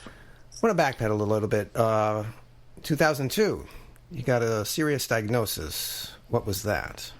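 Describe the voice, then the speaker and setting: A man's voice: fairly low pitch, expressive, normal speed. A man hosting a casual interview, asking questions and giving short responses. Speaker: podcast host, interviewer.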